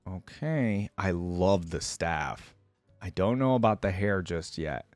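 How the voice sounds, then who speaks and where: A man's voice: low-pitched, expressive, takes it slowly, dry recording, faint music behind. A man hosting a casual reaction video, talking to viewers in an informal, slangy, exclamatory way.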